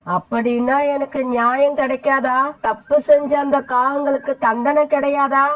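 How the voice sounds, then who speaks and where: A very high-pitched, indignant old female bird played by a woman in a cartoon moral story. She complains and pleads for justice, aggrieved at every turn. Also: steady tone, unhurried. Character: aggrieved elderly female bird, tearful and scolding. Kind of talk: animated moral story dialogue.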